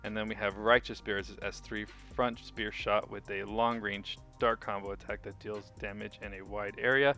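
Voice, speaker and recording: Male gaming guide host, lowish voice, casual commentary with an informal, think-aloud delivery, music underneath. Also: steady tone, normal speed, hardly any pauses, bright, forceful tone.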